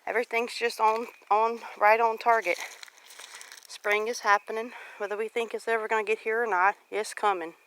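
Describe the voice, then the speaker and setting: A woman's voice: on the high side, little pitch movement, normal speed, fairly bright tone, poor audio. A woman in her late forties talking casually and reflectively to viewers as she walks around outside, in a devout, encouraging manner. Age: late 40s. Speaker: homestead vlogger. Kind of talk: casual reflective walk-and-talk vlog. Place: outdoors on a rural property. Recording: outdoor recording with clear background noise.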